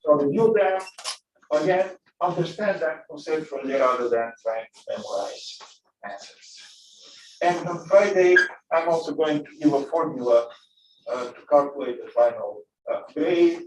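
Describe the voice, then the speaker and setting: A man from Bulgaria teaching a physics class, talking in a halting way with many fillers and restarts. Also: on the high side, lively intonation, a little mellow, slight background noise. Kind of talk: classroom lecture. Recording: echoey classroom, distant mic.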